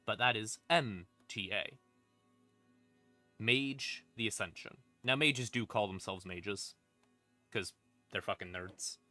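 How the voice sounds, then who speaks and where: A man casually explaining game lore on a stream in an irreverent, sweary, rambling way, with dramatic pauses for emphasis. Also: fairly low pitch, pushed and bright, no echo. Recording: music playing in the background. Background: creepy ambient music.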